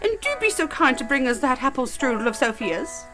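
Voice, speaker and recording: A woman's voice: sits high, sing-song, a little fast, music in the background. A woman playing a genteel, commanding mother and hostess in a period audio drama, grand and bustling. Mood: brisk, hospitable.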